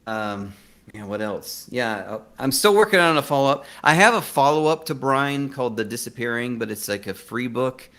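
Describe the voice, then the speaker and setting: A man's voice: mid-range voice, lively intonation, normal speed, a bit bright. A man chatting casually as an interview guest, informal and self-deprecating in manner. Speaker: interview guest, screenwriter and podcaster. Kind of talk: casual chat.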